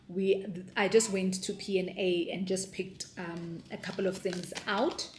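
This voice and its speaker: A woman in her thirties with a South African accent, talking through her homeschool plans in a relaxed, casual way. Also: medium pitch, a little slow, echoey room, slight background noise. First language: not Afrikaans. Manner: relaxed, many ums and restarts. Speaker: homeschooling mom and vlogger. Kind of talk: casual explainer vlog.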